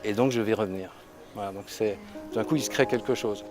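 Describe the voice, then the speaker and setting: A man's voice: fairly low pitch, a little fast, music in the background. A man organizing an event, speaking earnestly in an interview and repeating small words as he builds his point.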